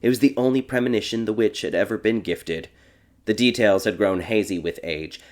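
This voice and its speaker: A man narrating a dark fairy tale audiobook in a measured, theatrical storytelling manner. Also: fairly low pitch.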